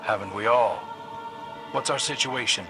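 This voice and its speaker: An actor playing a brooding, sardonic fighter in a video game, speaking in a wry, understated way. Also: male voice, medium pitch, moderate pace, close to an everyday voice. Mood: wry, determined. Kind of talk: scripted video game dialogue. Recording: loud music under the voice. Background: loud game music.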